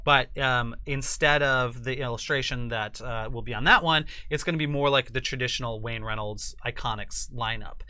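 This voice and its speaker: Man, forties, bright, forward voice, dry studio sound, casual explanatory guest talk. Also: mid-range voice, moderate pace, few pauses, smooth, dry recording. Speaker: guest, game publisher. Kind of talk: casual product talk on a livestream. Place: small indoor studio set with sofa and curtains.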